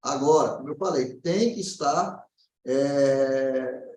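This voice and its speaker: A man's voice: mid-range voice, takes it slowly, a little mellow. A Brazilian man speaking as a naturopath, explaining informally and often checking that the listener follows. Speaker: naturopath answering questions. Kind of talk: informal health consultation. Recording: roomy echo.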